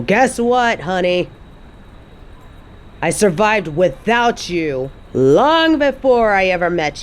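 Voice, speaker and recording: A woman's voice: sits low, darkish tone, slight background noise. A woman playing a fed-up, defiant mother confronting her toxic ex in a dramatic audio roleplay, scornful and emphatic.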